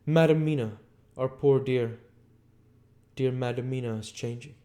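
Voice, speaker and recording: A man voicing a grave, sorrowful old foreign professor from a gothic novel, speaking halting, formal broken English. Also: medium pitch, warm tone, pitched above the performer's own voice, close to an everyday voice. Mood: grave, urgent.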